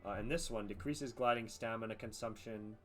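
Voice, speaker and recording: Guy streamer with a lowish voice, thinks out loud n swears, game music behind him. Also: brisk. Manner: casual, profane, thinking aloud. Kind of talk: casual live gameplay commentary.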